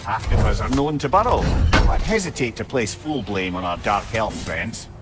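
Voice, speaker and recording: An actor playing a garrulous, witty older advisor with a Scottish accent in a video game, wordy, theatrical and wry. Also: male voice, fairly low pitch, expressive, moderate pace, loud music behind the voice, close to an everyday voice. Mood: scholarly, measured. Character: garrulous, witty older male advisor, colourful old storyteller. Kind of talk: cinematic video game acting.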